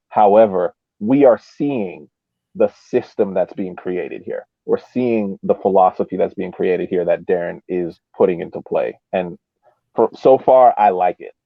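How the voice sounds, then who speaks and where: Male podcast pundit, lowish voice, thoughtful stop-start casual analysis, tinny phone-quality audio. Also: no echo. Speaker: soccer podcast co-host. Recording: thin phone-like line.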